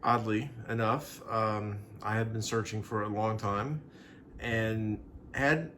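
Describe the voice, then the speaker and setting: A man's voice: mid-range voice, a bit bright. A man bantering casually, with playful, teasing interjections. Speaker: vlog co-host. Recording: clearly noisy room ambience.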